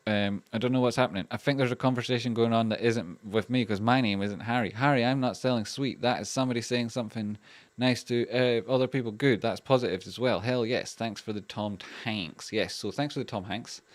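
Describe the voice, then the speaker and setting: A man's voice: medium pitch, fairly even intonation, brisk, dry recording. A man with a Scottish accent hosting a casual livestream, talking in a loose, rambling way with lots of fillers and restarts and free swearing as he reads out donations. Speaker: livestream host.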